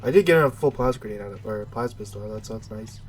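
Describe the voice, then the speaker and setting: A man's voice: lowish voice, animated, very fast, a little hiss or hum. A man with a stuffy, nasal voice giving casual, rambling, unscripted commentary while playing a video game. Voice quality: nasal, congested. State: stuffy nose.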